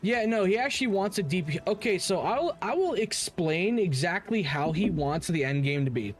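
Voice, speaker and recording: A man's voice: high voice, lively intonation, normal speed, faint music behind. A man doing casual live game stream commentary in a blunt, opinionated manner. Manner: blunt, opinionated, heavy swearing.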